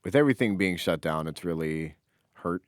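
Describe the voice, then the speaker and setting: A man's voice: sits low, expressive, moderate pace, dry recording, studio quality. A man co-hosting a podcast, chatting casually and joking around. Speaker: podcast co-host. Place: small office.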